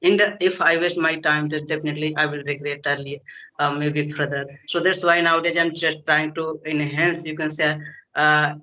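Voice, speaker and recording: A man's voice: on the high side, little pitch movement, normal speed, sharp tone, dull audio. A man with an Indian English accent, a native Hindi speaker, practicing English in casual conversation, hesitant with many fillers and frequent laughs. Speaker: English learner, conversation partner.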